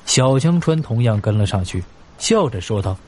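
A man's voice: mid-range voice, lively intonation, normal speed, a little mellow, slight background noise. A man narrating a mystery story in a dramatic, scripted audio drama style.

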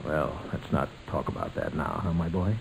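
An American actor playing a sentimental middle-aged uncle in an old-time radio sitcom, speaking haltingly and deliberately. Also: male voice, rumbling low, animated, creaky, crowd noise, heavily acted. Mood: subdued, wistful. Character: sentimental middle-aged uncle and family patriarch, hesitant, tender. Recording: noisy vintage radio recording.

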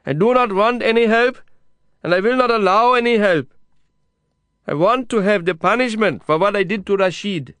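A man voicing a proud, desperate male prisoner, pleading loudly and insistently through a fence. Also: sits high, animated, normal speed, smooth, no echo, voice pushed up. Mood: defiant. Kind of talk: audiobook character voice.

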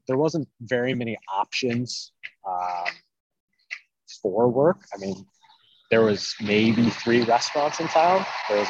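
Husky-voiced male chef from Iowa. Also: fairly low pitch, dry recording, muffled recording. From central Iowa. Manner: easygoing storyteller, many ums and likes. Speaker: private chef, podcast guest. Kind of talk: casual interview chat.

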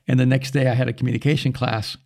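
Man from El Salvador, Spanish first language, middle-aged, gravelly voice, lively storytelling, pastor on a podcast. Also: medium pitch, quick pace. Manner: animated storyteller with quoted asides. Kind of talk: casual conversation.

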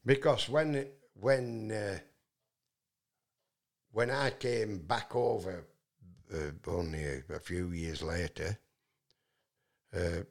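Bloke, loud, lowish voice, telling a long rambly story with lots of uh and and. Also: male voice, slow pace, vocal fry, long pauses. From England. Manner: loud voice, many fillers and restarts. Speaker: guest.

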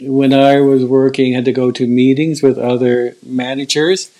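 A man's voice: medium pitch, little pitch movement, moderate pace, warm tone, rough recording. Older man who stutters, earnest and reflective. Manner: stutters, with repeated words and restarts. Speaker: guest. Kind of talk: casual conversation.

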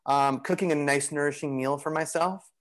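A man talking as a podcast guest in a casual, relaxed, rambling way, full of "you know" and "like". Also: fairly high pitch, normal speed. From Greek heritage. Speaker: podcast guest, bodywork practitioner. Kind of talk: casual conversational interview.

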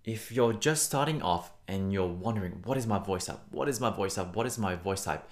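A man's voice: low voice, animated, brisk. A man who works as a voice teacher and host, talking conversationally to listeners in an encouraging, emphatic and reassuring way. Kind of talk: conversational singing lesson to listeners.

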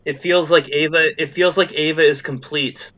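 A man's voice: on the high side, fairly even intonation, moderate pace, fairly bright tone, highs cut off. A man chatting casually as a podcast guest, rambling with lots of fillers and laughs. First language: not Japanese. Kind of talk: casual chat. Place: in Japan.

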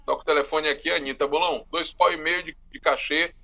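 A man with a Salvador accent, a musician telling stories in a casual interview in an animated, talkative way. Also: fairly high pitch, fast talker, gravelly. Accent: Salvador, Bahia. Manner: animated, often says "velho". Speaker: musician and bandleader.

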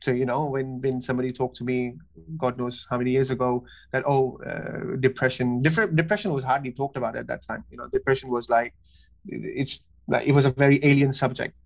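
Man from pakistan, podcast guest, flat even pitch, halting, earnest, lots of fillers. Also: vocal fry, low-quality recording. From Pakistan, raised in Kuwait. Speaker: guest, cognitive behavior therapist. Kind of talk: personal story in a podcast interview.

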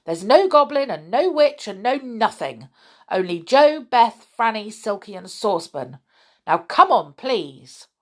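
A woman's voice: a little high, very animated, unhurried, pitched above the performer's own voice. A woman voicing a bossy, exasperated little male fairy-folk rescuer in a children's story, emphatic and impatient.

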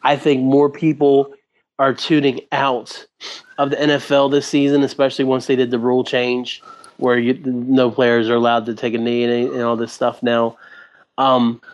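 A man's voice: mid-range voice, completely flat delivery, moderate pace, lo-fi sound. A man hosting a casual sports discussion show. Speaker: sports talk show host.

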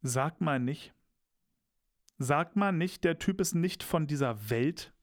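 A man's voice: on the high side, expressive, slow, dry recording, clean studio sound. A young man co-hosting a casual chat, enthusiastic and rambling, teasing his co-host. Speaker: podcast co-host.

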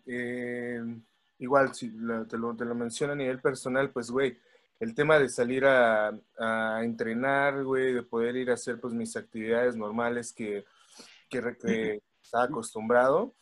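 A man's voice: medium pitch, a bit bright. A man with a Mexico City accent chatting casually, slangy and sweary, often repeating words as he goes. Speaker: podcast panelist. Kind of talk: casual chat.

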